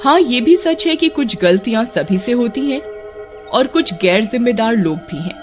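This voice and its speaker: A woman's voice: a little high, lively intonation, normal speed. A woman reading scripted story narration as a storyteller. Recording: music behind the narration.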